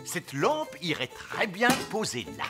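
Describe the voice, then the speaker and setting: Courteous, low-voiced cartoon parent. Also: female voice, wildly swooping pitch, a little slow. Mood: flattering. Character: polite, diplomatic cartoon parent with flattering charm. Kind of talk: French cartoon dubbing. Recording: light music behind voice.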